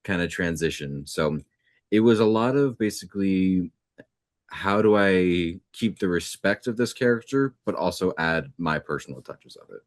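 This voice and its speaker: A young adult man with a deep natural speaking voice, talking as a voice actor guest in a casual interview, easygoing and reflective with plenty of fillers. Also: animated, normal speed, no room sound, clean studio sound.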